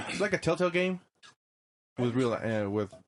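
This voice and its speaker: A man's voice: mid-range voice, animated, moderate pace, slight background noise. A man co-hosting a casual podcast, loose and joking, laughing often. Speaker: podcast co-host. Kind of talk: casual chat.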